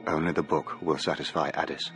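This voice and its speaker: Haunted gentleman, extremely low voice, formal, radio drama letter, music underneath. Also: little pitch movement, a little fast, creaky. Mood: haunted resolve. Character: tormented gentleman reading out his desperate farewell letter.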